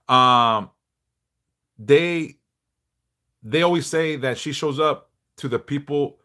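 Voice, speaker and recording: A middle-aged man a big bass voice, chatting bluntly and passionately on a livestream, swearing freely. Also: bright, forceful tone. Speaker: livestream host, devotional teacher. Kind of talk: casual livestream chat.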